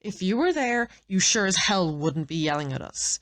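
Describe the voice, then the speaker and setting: A woman's voice: on the low side, very animated, normal speed, fairly bright tone. A woman acting in an audio drama as a brash, sardonic, hard-bitten assassin who swears freely. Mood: angry, defensive. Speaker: voice actor in an audio roleplay.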